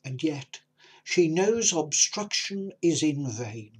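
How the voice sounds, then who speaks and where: Husky, slow poetry reader. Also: mid-range voice, lively intonation, a little mellow. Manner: deliberate, with pauses.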